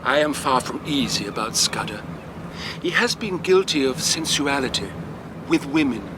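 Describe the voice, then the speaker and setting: A man's voice: medium pitch, very animated, normal speed, background noise, close to an everyday voice. An actor playing a prim, moralising clergyman with a formal, pompous and theatrical way of speaking in a radio drama. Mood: disapproving. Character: prim, moralising English clergyman, effusive and nosy.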